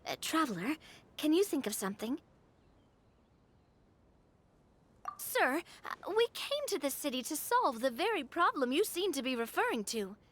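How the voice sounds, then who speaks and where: Bright, encouraging young lady. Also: sits high, sing-song, moderate pace, a little hiss or hum. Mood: persuasive. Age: young adult. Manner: warm, encouraging, gently persuasive. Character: upbeat, kind young noblewoman with a sweet tooth. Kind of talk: video game dialogue.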